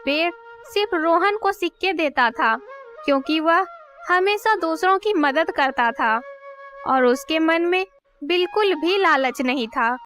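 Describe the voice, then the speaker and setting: A woman's voice: extremely high pitch, expressive, measured pace, a bit bright. A woman narrating a scripted children's story as a storyteller. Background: music.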